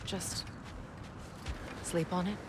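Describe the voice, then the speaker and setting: An actress playing a proud warrior queen and goddess general, composed and measured. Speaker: video game character. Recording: game audio with steady background noise.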